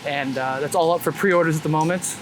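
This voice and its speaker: A man's voice: a little high, fairly quick. A man being interviewed as a street photographer, talking casually and candidly with swearing and frequent filler words like "man". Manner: informal, profane, peppered with "like" and "man". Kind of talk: casual, candid interview chat while walking. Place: outdoors on a city street. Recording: clear outdoor traffic and city noise.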